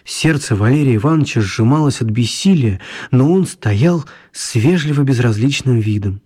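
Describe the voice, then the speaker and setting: A man with a husky, slightly rough voice narrates a children's radio story. He keeps a wry, storytelling tone at a steady middle pitch. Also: moderate pace. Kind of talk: scripted radio play narration.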